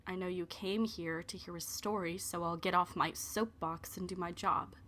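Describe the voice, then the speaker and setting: A woman's voice: fairly low pitch, steady tone, moderate pace, bright, forceful tone, slight background noise. A woman playing an opinionated young narrator of a love story, addressing the listener bluntly in a dramatic first-person telling. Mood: wry, resigned. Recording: music behind the voice.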